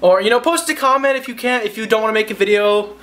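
Excitable young man vlogging at home. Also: high-pitched. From Alaska, United States. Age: young adult. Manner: excitable, rambling, quick asides. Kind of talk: casual vlog to camera. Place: living room of a house, indoors. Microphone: handheld camera at arm's length.

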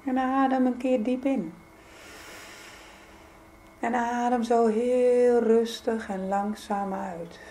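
A woman leading a guided yoga nidra meditation in a soothing, gentle, unhurried manner. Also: on the high side, steady tone, a little hiss or hum. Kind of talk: guided meditation, calm spoken instruction.

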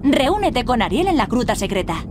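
A woman's voice: fairly high pitch, sing-song, normal speed. A woman playing an encouraging magical guide in a video game, giving scripted instructions in a reassuring way. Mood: instructive. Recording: clear ambient noise behind.

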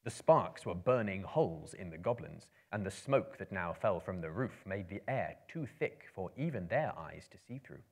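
A man in his thirties reads a fantasy story aloud as a live performance. His voice sits fairly low, and he phrases theatrically, with measured pauses and weighted emphasis. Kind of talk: dramatic book reading to a live audience. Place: room lined with books, indoors.

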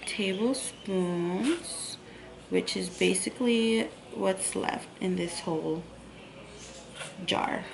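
Woman, 30s, home vlogger, unhurried friendly chat, noisy home recording. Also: medium pitch, creaky. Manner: chatty, upbeat, relaxed. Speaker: lifestyle vlogger. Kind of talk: casual tutorial vlog. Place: home bedroom and kitchen.

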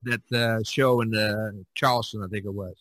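A man's voice: on the low side, steady tone, moderate pace, fairly bright tone, dry recording. A man hosting a casual podcast discussion as a painter, talking in a rambling, chatty way.

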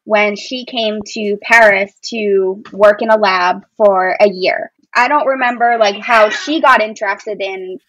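A woman's voice: mid-range voice, fairly even intonation, unhurried, few pauses, a bit bright, no echo, highs cut off. An American woman in her thirties, a podcast guest telling personal stories in an enthusiastic, informal way. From Boston area, United States. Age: 30s. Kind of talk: casual personal storytelling.

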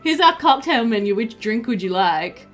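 A woman's voice: fairly high pitch, expressive, normal speed, fairly bright tone, faint music behind. A woman reading out polite, friendly young village women from a farming video game in a lightly lilting way. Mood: cheery. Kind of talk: reading game dialogue aloud.

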